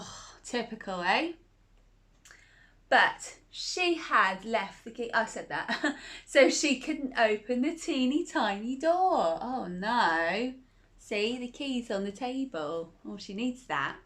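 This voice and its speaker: A woman's voice: fairly high pitch, lively intonation, takes it slowly, a bit bright. A woman reading a picture book aloud to children in a cozy, playful way, with chatty asides and laughs between the lines.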